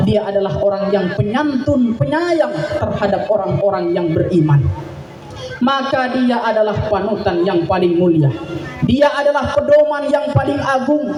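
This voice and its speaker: High-voiced young preacher in an echoey hall. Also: male voice, darkish tone. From Madura, Indonesia. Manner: rising, declamatory preaching with Arabic recitation. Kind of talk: sermon to a village gathering. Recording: echoey hall, distant mic, faint ambience.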